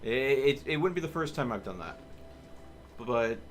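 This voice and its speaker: A man's voice: mid-range voice, animated, normal speed, slight background noise. A man hosting a casual game livestream, chatting and thinking aloud in a rambling way. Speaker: livestream host. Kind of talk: casual chat while live streaming a video game.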